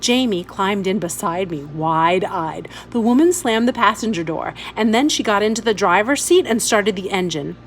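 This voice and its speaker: A woman's voice: mid-range voice, expressive, slight background noise. A woman reading a story aloud expressively, as a teacher would for pupils. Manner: instructive, pausing to point out details.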